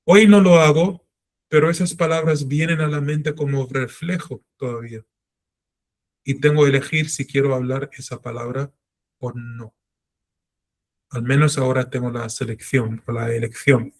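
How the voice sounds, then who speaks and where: A man's voice: mid-range voice, fairly even intonation, moderate pace. A middle-aged man teaching a Torah class in an earnest, self-reflective way, drawing on his own life. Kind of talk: religious class lecture.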